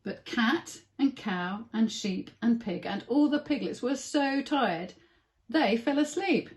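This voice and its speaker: A woman's voice: on the high side, animated, slow. A woman reading a picture book aloud to young children with simple phrasing and dramatic emphasis. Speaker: early years story reader.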